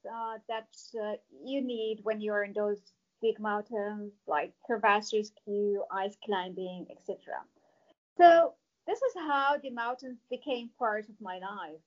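A woman from Morocco, a mountaineer, answering interview questions in a measured way with fillers. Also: fairly high pitch, lo-fi sound. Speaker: mountaineer guest.

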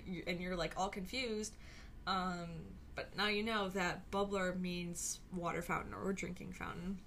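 A woman's voice: on the low side, fairly even intonation, measured pace, a bit bright, slight background noise. Chatty young woman podcaster, rambling. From Chicago area. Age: young adult. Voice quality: rambling with many fillers and tangents. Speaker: podcast host. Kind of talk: casual solo podcast chat.

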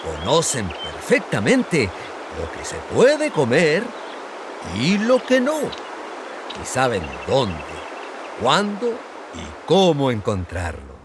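A male narrator tells a scripted story theatrically. His mid-pitched voice swoops up and down at a leisurely pace, with clear outdoor noise behind. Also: huge pitch swings, slow pace.

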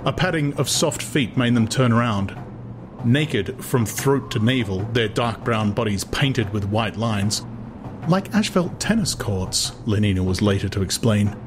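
A man's voice: lowish voice, normal speed, background noise. A man narrating a literary audiobook in a dramatized storytelling style. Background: music.

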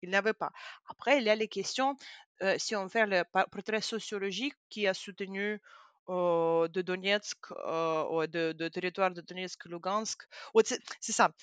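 Bright, dry-voiced Ukrainian woman guest. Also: mid-range voice, clean tone, no echo. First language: Russian. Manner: animated, halting French with frequent fillers. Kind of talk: casual interview talk.

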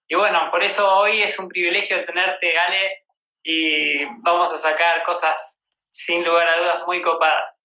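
A man's voice: sits high, bright, forceful tone. An Argentine man hosting a casual livestream interview. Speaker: host interviewing a guest. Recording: echoey room, dull livestream audio.

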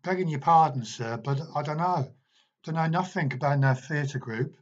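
A man voicing an eerie, unsettlingly calm boy who speaks in rough working-class slang. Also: fairly high pitch, normal speed, pitched above the performer's own voice, close to an everyday voice. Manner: rough working-class speech, quiet and accusing. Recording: dull, muffled recording.

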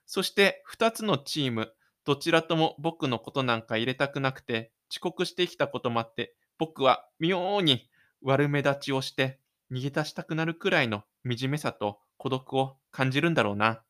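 Brooding lonely high school boy acted by a man. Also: animated, normal speed, hardly any pauses, fairly bright tone, close to an everyday voice. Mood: bitter, miserable. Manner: brooding, self-pitying inner monologue.

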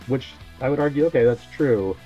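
A man from Mexico who grew up in West Texas, explaining things as a podcast guest in a relaxed, easygoing way with plenty of fillers. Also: medium pitch, fairly quick, dull audio, faint music behind. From Mexico, raised in West Texas. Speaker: podcast guest, sales advisor. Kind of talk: casual interview answers.

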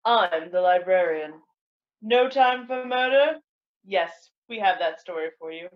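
A woman playing a mysterious librarian who hosts crime stories, narrating in a theatrical old radio style with suspenseful pauses. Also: on the low side, expressive. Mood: eerily welcoming. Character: mysterious female librarian host of a crime story club. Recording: muffled, noisy recording with clicks.

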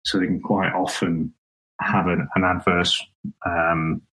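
A man co-hosting a casual film review talk, explaining things in a halting, conversational way with tag questions. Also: low-pitched, level tone, a bit bright, rough recording. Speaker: film review podcast co-host.

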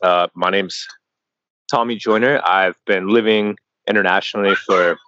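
A man's voice: low voice, fairly even intonation, moderate pace, bright, forceful tone, clear, resonant tone, no room sound, dull audio. A man in his early thirties from Colorado, a podcast guest chatting casually in a laid-back way, full of fillers and restarts and calling the host "man". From Colorado, USA. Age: early 30s. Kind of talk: casual interview chat.

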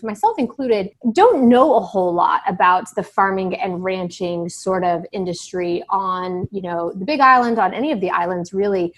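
Host: woman from Kansas, chatty and gushing, friendly interview lead. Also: mid-range voice. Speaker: podcast host. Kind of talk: casual interview hosting.

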